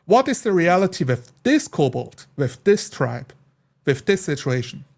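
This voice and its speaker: A man's voice: on the high side, big pitch swings, unhurried. A man talking casually and at length as a tabletop player, rambling emphatically and quoting imagined speakers. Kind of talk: casual podcast-style discussion.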